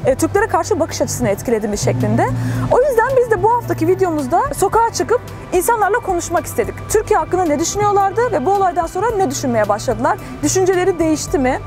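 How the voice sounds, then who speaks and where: A woman from Turkey hosts a vlog, her voice high and bouncing with lots of movement. She talks fast and chattily, recorded outdoors, with music clearly audible behind her. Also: big pitch swings. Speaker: vlogger and street interviewer. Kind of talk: vlog intro and street interviews. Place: outdoors at a busy street market.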